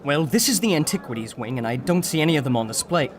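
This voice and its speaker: A man playing a steady, principled adventurer with an earnest manner in an old-time serial. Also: a little high, fast talker, natural voice. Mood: alert.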